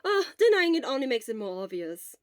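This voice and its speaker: A woman's voice: sits high, big pitch swings, brisk, dry recording, clean studio sound, pitched above the performer's own voice. A woman playing a cheerful, teasing young man, an exuberant best friend in an audio story.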